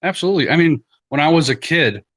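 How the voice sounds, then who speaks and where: A man's voice: fairly high pitch, expressive, quick pace, a bit bright. A man hosting a live stream in a casual, loosely conversational, plain-spoken way.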